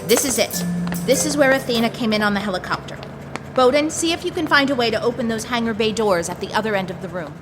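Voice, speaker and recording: A woman's voice: a little high, fast, music in the background, close to an everyday voice. A woman playing an authoritative, dry section chief of a spy agency in an audio comedy drama. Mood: commanding.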